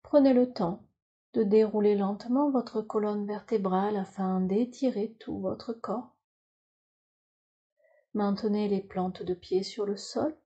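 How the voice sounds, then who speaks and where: A woman teaching yoga nidra, leading a guided relaxation in a calm, soothing, instructional way with measured phrases. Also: medium pitch, slightly rough, lo-fi sound.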